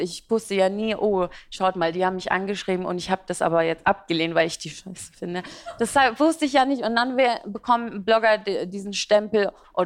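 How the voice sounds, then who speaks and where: A chatty woman in her twenties, born in Iraq with Aramaic as her mother tongue, talks about her work as a blogger. She rambles, laughs often and fills her pauses with hesitations. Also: mid-range voice. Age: 20s. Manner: talkative, rambling, frequent laughter and fillers. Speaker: beauty and fashion blogger, guest. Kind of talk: interview answers.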